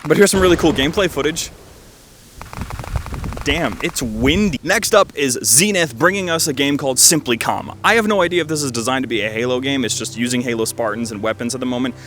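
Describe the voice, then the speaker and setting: A man's voice: mid-range voice, brisk, a bit bright, a little hiss or hum. A man giving casual, joking commentary over gameplay, rambling and slangy with a self-deprecating manner. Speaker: video game reviewer and host.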